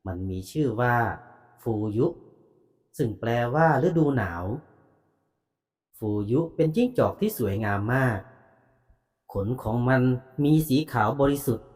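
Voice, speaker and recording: Guy reading bedtime fables, calm and preachy, voice goes up and down a lot, echoey reverb. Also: mid-range voice, a little slow, pauses often. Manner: calm, moralizing storytelling. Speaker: storyteller.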